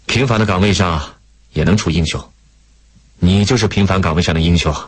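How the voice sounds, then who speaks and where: Man acting a low-voiced, solemn soldier. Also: slightly rough, no echo. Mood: solemn, resolute. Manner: grave, earnest, deliberate. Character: solemn, sincere male army driver, humbled and resolute.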